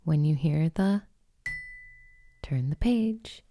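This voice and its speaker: Woman, storybook narrator, relaxed dark tone, unhurried pace, expressive phrasing, dramatic pauses. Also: low-pitched, slight background noise. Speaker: host and reader of children's read-alouds. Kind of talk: slow bedtime storybook read-aloud.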